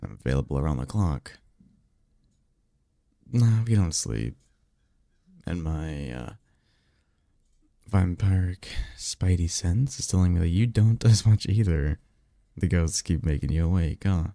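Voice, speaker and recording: Man acting as a low, creaky-voiced, guarded vampire. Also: animated, mellow, no room sound, exaggerated voice. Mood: reluctantly caring. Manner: halting, insistent, colloquial and sardonic. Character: guarded, secretive male vampire offering magical mentorship. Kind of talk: ASMR roleplay audio drama.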